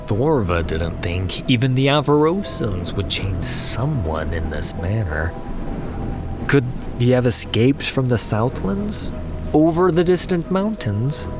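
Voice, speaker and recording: A man narrating a fantasy story in a measured, solemn way with weighted pauses, as in a scripted dramatic audiobook. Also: mid-range voice. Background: background music.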